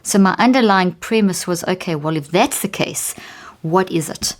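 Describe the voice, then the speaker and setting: A woman's voice: on the low side, moderate pace. A middle-aged woman with a South African accent, a neuroscientist telling stories in a podcast interview. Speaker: neuroscientist guest.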